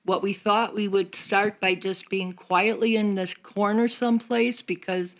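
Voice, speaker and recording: Creaky-voiced older woman. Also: mid-range voice, flat delivery, measured pace. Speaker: guest, church member. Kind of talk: casual chat. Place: small studio round a table. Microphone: close podcast microphone.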